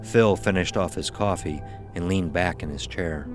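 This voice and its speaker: A man's voice: deep voice, normal speed. A man reading a scripted horror story as its narrator. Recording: music behind the voice. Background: music.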